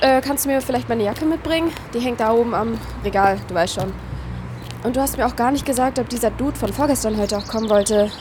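A slightly high-voiced young woman, played by an actress in an audio drama. She talks in casual youth slang, self-doubting yet caring, with some background sound behind her. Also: noisy background, natural voice. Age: young adult. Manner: colloquial youth slang with English bits. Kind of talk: naturalistic audio drama acting.

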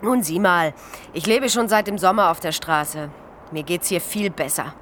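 Woman playing brash streetwise homeless woman, lowish voice. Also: normal speed, a bit bright, lightly acted. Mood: soothing, worldly-wise. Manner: colloquial, urging, exclamatory. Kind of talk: German radio crime drama acting. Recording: noticeable background noise.

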